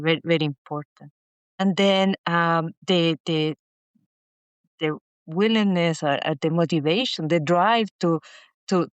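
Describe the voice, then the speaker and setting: A middle-aged woman from Chile, a guest speaking haltingly with many restarts and repeated words in a casual interview. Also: sits low, clean tone, no echo. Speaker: guest, inventor.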